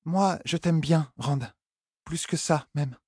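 A man voicing a young noblewoman in an audiobook, earnest and tender, with a soft, coy, careful way of talking. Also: fairly high pitch, slow pace, slightly rough, no room sound, clean studio sound, pitched above the performer's own voice. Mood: tender, nervous.

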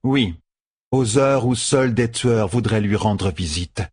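Man voicing a stern, slow-spoken woman bodyguard. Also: fairly low pitch, slightly rough, no room sound. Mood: cold, guarded. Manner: slow, deliberate, dry deadpan. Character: stern, hard young woman bodyguard, curt and scornful. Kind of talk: audiobook fantasy character dialogue.

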